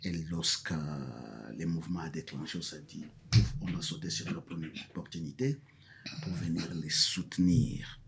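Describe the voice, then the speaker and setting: A man's voice: a bass voice, a little slow, vocal fry, slight background noise. A male professor from South Kivu, a Mashi speaker, answering interview questions hesitantly and then with emphatic repetition. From South Kivu, DR Congo. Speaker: guest, professor.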